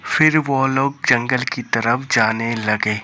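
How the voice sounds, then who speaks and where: A man's voice: mid-range voice, steady tone, takes it slowly, background noise. A man narrating a scripted cartoon story as its storyteller.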